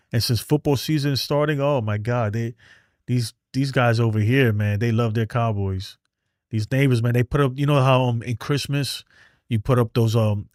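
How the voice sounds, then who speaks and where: A man's voice: medium pitch, darkish tone, no echo, clean studio sound. Blunt New man hosting a stream. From New York City. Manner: streetwise, blunt, reads chat aloud. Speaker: livestream host. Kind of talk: casual livestream chat. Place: home in Texas.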